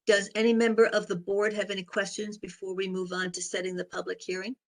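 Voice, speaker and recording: Hoarse, raspy woman chairing a meeting. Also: medium pitch, little pitch movement. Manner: halting, with many fillers. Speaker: board chair.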